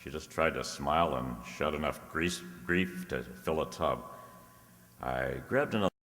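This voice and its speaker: Man acting a low-voiced wisecracking private eye, echoey hall. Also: moderate pace, a bit bright. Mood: wry. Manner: wry, hardboiled patter. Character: wisecracking male private detective, dry, streetwise, soft-hearted. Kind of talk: old-time radio detective drama acting and narration. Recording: echoey hall, long reverb.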